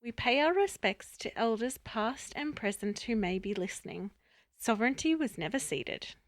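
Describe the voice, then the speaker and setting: Host: woman, upbeat patter, fairly high voice, dry close mic. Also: animated, normal speed, no room sound. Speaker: podcast host. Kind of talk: casual interview hosting.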